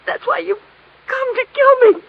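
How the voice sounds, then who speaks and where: A woman's voice: extremely high pitch, expressive, normal speed. An actress playing a terrified, frantic young woman in danger in a melodramatic 1950s radio noir drama. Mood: hysterical. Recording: muffled old radio recording.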